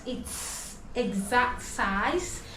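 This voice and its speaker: A woman from Nigeria unboxing gifts at home in a casual vlog, excited and often gasping, softly talking to herself. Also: fairly high pitch, very slow. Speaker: vlogger. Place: home in Dubai. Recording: echoey room with clear background noise.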